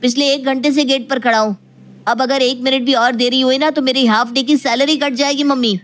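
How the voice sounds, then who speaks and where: Exasperated adult son voiced by a woman, highish voice, pleading, dry sarcasm, domestic audio drama. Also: steady tone, fairly quick, fairly bright tone. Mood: pleading, urgent. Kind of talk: Hindi audio story acting.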